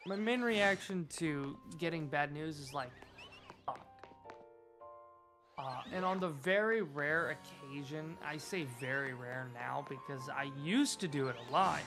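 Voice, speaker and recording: Slow-talking American man, deliberate. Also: on the high side, a bit bright. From United States. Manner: deliberate enunciation, frequent "like" fillers. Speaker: streamer host. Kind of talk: casual chat while gaming.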